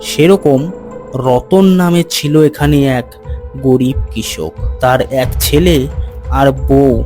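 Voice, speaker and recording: A man's voice: mid-range voice, slow pace, a little mellow. A man giving scripted narration for a cartoon moral story. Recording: music under the voice.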